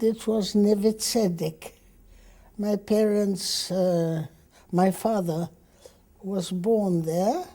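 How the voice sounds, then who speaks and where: Older woman, Hebrew first language, oral history interviewee, slow and mellow, reflective. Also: mid-range voice. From Egypt. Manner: slow, reflective storytelling with hesitations.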